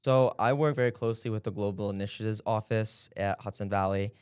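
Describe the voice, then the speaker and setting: Young male guest, low smooth voice, earnest and halting, dry close mic. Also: steady tone, darkish tone, dry recording. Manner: earnest, hesitant, many fillers. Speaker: interview guest, former intern. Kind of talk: casual interview answers.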